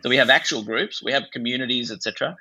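A man's voice: medium pitch, quick pace, pushed and bright, slightly rough, rough recording. A man from Bangladesh speaking as a psychiatrist guest in an interview, explaining ideas in a discursive, intellectual way.

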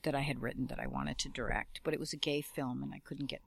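A woman interview guest talking casually about her film work, relaxed and wry, her phrases trailing off. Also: extremely low pitch, quick pace, vocal fry, slightly rough, no echo.

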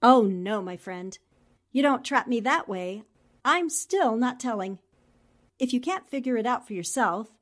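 A woman's voice: fairly high pitch, lively intonation, measured pace. A woman playing a shrewd, confident newspaper reporter with poised, theatrical delivery in a 1940s-style radio drama. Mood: brisk, wry. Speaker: voice actor.

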